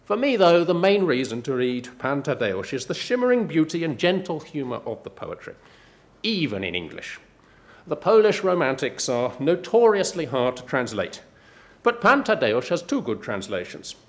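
Lively, wry professor talking books. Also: male voice, fairly high pitch. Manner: measured, wry and lively, with dry asides. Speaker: professor giving a book pitch. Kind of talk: prepared talk.

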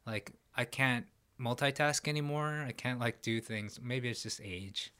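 A man from New York chatting casually on a podcast in a relaxed, self-deprecating, rambling way. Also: mid-range voice, a little slow, smooth. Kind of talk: casual chat.

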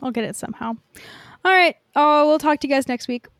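A woman's voice: high-pitched, brisk, fairly bright tone, dry recording, clean studio sound. A woman co-hosting a podcast in casual chat, with an informal, self-deprecating manner. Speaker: podcast co-host.

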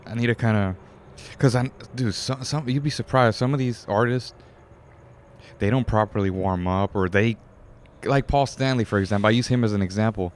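Deep-voiced man hosting a casual podcast. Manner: excitable, swears casually, quick voice impressions. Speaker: podcast host. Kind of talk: casual chat.

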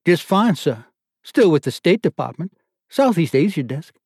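A man doing a crisp young Australian-accented lieutenant. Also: on the high side, very animated, moderate pace, darkish tone, no echo, studio quality, pitched above the performer's own voice, full character voice. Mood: respectful. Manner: crisp and respectful, answers with "sir".

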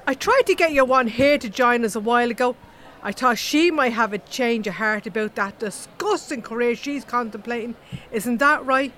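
An actress playing a blunt, chatty, gossipy Irish animal-rights activist with an earthy colloquial manner in a comedy audio drama. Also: female voice, fairly high pitch, fairly bright tone, slight background noise, close to an everyday voice. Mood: pushy, eager.

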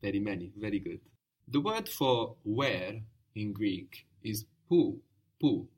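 A man's voice: fairly low pitch, very animated, takes it slowly, a little mellow, husky. A man teaching a language lesson, patiently prompting his student with questions and brief praise.

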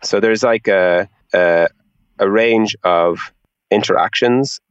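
A man's voice: fairly low pitch, takes it slowly, clear, resonant tone, no room sound, highs cut off. A man being interviewed, explaining things in an informal, conversational way with a lot of filler phrases like "you know". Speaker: interview guest, tech product executive.

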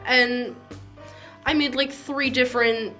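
A woman's voice: high voice, fairly even intonation, slow pace, bright, forceful tone, faint music behind. A young adult woman hosting a podcast in a casual, informal chat, giggly and swearing freely. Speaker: podcast host.

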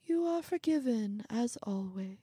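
A woman's voice: a little high, animated, a little slow, mellow, husky, dry recording, studio quality, voice pushed up, full character voice. A woman voicing a fussy, condescending little man in an audiobook, prim and fluttery in manner. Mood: airily forgiving.

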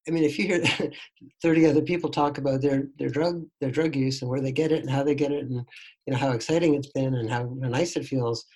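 A man's voice: medium pitch, steady tone, brisk, a little mellow, low-quality recording. A man being interviewed as a neuroscience expert, explaining thoughtfully and restarting his sentences often. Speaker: neuroscientist guest. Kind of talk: conversational interview answers.

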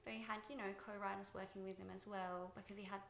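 A soft-spoken woman being interviewed about her directing work, thoughtful and pausing with fillers. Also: mid-range voice, level tone, vocal fry, echoey room. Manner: thoughtful, many fillers and restarts. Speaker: guest, TV director. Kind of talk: reflective interview talk.

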